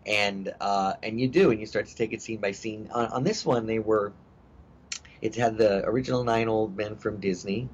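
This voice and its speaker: A middle-aged actor talking in a casual interview, rambling with many fillers and restarts. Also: male voice, fairly low pitch, normal speed, husky. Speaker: actor guest. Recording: dull lo-fi audio with faint hiss.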